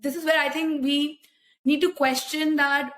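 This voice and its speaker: High-voiced hesitant Indian woman. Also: flat delivery, unhurried, a bit bright. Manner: hesitant, full of uh fillers. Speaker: podcast co-host. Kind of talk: casual podcast chat.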